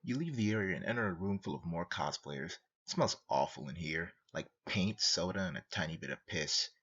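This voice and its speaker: Man as blunt, commanding comic narrator; deep, animated delivery, second-person storytelling. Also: a little fast, husky, muffled recording, full character voice. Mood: wry, commanding. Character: deadpan, blunt male narrator commanding the hero.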